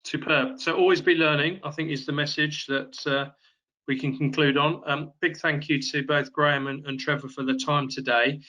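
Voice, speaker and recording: A man hosting a webinar, brisk and upbeat as he wraps up and hands over. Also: fairly high pitch, steady tone, sharp tone, low-quality recording. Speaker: webinar host.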